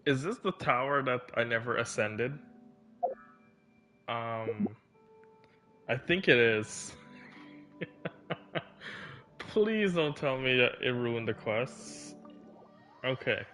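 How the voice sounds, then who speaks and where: A man's voice: mid-range voice, animated, slow pace, pauses often, bright, forceful tone, faint music behind. A man giving casual live commentary as a game streamer.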